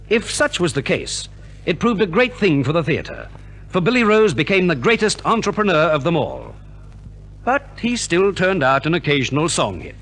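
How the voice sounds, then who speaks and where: A man presents a 1950s radio show from a script. His voice sits on the high side and moves expressively up and down, with a polished, theatrical way of telling a story. It has the sound of a vintage broadcast transcription. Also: fairly bright tone. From Australia.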